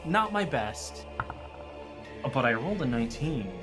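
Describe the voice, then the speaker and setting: A man playing a tabletop roleplaying game, talking casually with hesitant, measured pauses. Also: medium pitch, sing-song. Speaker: guest player running the monster. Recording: faint music behind. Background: background music.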